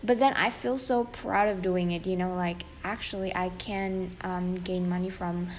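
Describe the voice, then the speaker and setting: A woman's voice: fairly low pitch, steady tone, normal speed. Young female podcast host, loose chatty delivery full of "like", faint background hiss. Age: young adult. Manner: chatty, many fillers and "like". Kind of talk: casual solo podcast talk.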